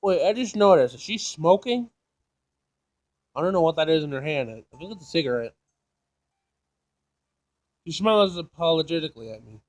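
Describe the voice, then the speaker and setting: A man doing casual playthrough commentary, reading game text aloud, jokey and thinking out loud as he repeats himself. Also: a little high, animated, normal speed, pauses often. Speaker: gaming video host.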